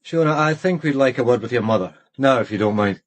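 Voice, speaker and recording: A man's voice: mid-range voice, animated, fast, natural voice. A man with a Scottish accent playing a dry, dogged police detective in a naturalistic radio crime drama. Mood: firm. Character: male police detective, dry, dogged, deferential to his boss.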